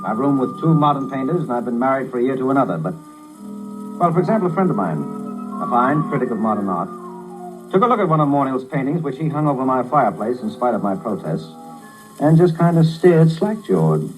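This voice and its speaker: An actor playing a wry, tolerant struggling writer, narrating and talking in a dry conversational way in a 1950s radio drama. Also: male voice, medium pitch, sing-song, brisk, music in the background, close to an everyday voice, rough recording. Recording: old 1950s radio broadcast.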